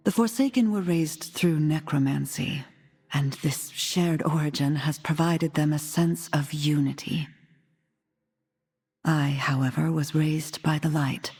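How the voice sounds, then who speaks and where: Game heroine: earnest undead noblewoman leader, breathy, unhurried, reverberant with music. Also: deep voice, steady tone, darkish tone, full character voice. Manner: measured, formal, earnest. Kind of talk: video game cutscene acting. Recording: long reverb, music behind.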